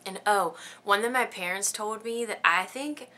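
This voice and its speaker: A woman's voice: mid-range voice, moderate pace, bright, forceful tone. A woman in her thirties chatting casually through a list of questions, giggly and rambling with lots of ums. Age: 30s. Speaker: beauty vlogger. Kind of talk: casual chat answering tag questions.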